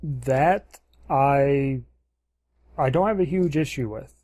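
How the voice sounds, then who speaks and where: A man chatting casually as a podcast co-host, low-key and noncommittal, hesitating as he searches for words. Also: slow, darkish tone, no echo, clean studio sound. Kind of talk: casual chat.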